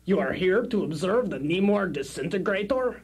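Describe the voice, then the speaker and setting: A man's voice: high-pitched, lively intonation, normal speed, a crowd in the background. A man acting a formal, theatrical professor presenting his invention in a radio drama. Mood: formal, commanding.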